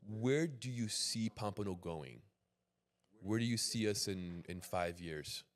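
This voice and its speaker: A man's voice: fairly low pitch, expressive, a little slow. A man hosting a casual interview in an agreeable, affirming manner. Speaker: podcast host, interviewer.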